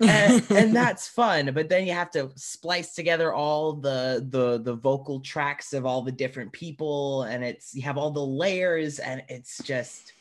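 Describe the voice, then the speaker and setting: A man's voice: a little high, measured pace, hardly any pauses. A man hosting a podcast interview, chatting informally and with enthusiasm. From United States. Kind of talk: casual chat.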